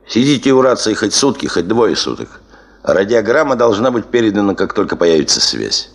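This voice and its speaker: Low-voiced, commanding expedition chief. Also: male voice, big pitch swings. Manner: measured, authoritative, clipped orders. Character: commanding, exacting male chief of a gold-prospecting expedition. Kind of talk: radio play acting.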